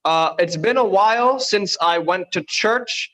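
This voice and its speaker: A man's voice: high-pitched, unhurried, fairly bright tone. A man from Bangladesh doing conversational stand-up, hesitant and understated. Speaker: stand-up comedian.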